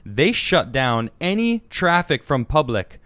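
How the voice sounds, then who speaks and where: A young American man hosts a casual talk show with a smooth, resonant voice, heard close and dry. He talks in quick restarts and turns animated and indignant on political stories. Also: medium pitch, no echo. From United States. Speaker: host.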